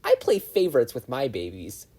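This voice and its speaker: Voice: medium pitch, wildly swooping pitch, moderate pace, warm tone, husky, heavily acted. A performer voicing a brash, proud teenage girl inventor who is cocky and commanding. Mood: eager.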